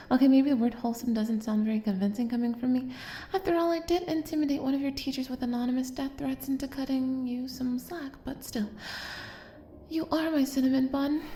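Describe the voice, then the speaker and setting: High mellow voice, woman acting as doting possessive female kidnapper, intimate audio roleplay, soft coaxing manner, roomy echo. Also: a little hiss or hum. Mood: affectionate, coaxing. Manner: soft, coaxing, hesitant asides, drops into whispers.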